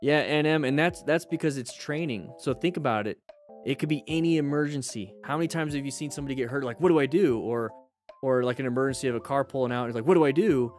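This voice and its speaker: A man hosting a livestream, chatting casually with viewers in a blunt, joking, animated manner. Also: mid-range voice, fast, faint music behind. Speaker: livestream host; firefighter.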